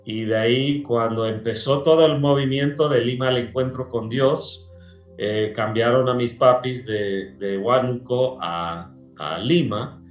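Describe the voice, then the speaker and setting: A man from Peru, a pastor, chatting in an interview, slow and hesitant with many fillers. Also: medium pitch, echoey room, lo-fi sound. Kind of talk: casual interview chat.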